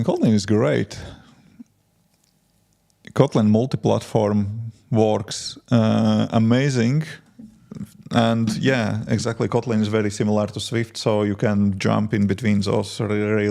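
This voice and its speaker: A man in his forties from Latvia with a Latvian accent, chatting casually with viewers while coding and answering their questions with halting restarts. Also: lowish voice, flat delivery, vocal fry, mellow. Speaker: indie app developer streaming. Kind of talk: casual live-stream chat while coding.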